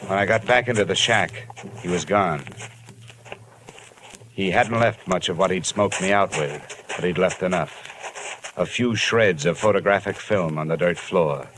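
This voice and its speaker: Low, sardonic tough-guy detective, old radio show, noisy recording. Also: a little slow, pauses often. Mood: wry, narrating. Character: wry, hard-boiled male insurance investigator. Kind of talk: 1950s radio detective acting, first-person narration and dialogue.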